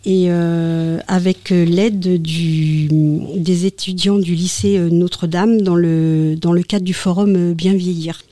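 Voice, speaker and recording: A woman with a soft, mellow voice explains a local service in an interview. Her wording is formal but halting, and the sound is close and dry. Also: lowish voice, fairly even intonation, no echo. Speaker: community transport coordinator being interviewed.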